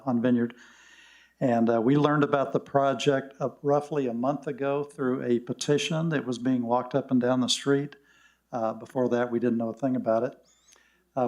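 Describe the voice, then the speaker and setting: An older man giving a measured public comment at a village meeting, with frequent "uh" fillers. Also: medium pitch, a little mellow.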